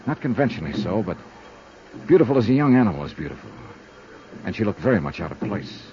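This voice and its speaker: An actor playing a hard-boiled, sardonic American investigator in a 1950s radio noir, with clipped, dry, dramatic phrasing. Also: male voice, lowish voice, big pitch swings, fast talker, noisy background. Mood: brooding. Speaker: lead detective and narrator. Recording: dull 1950s radio recording with faint music.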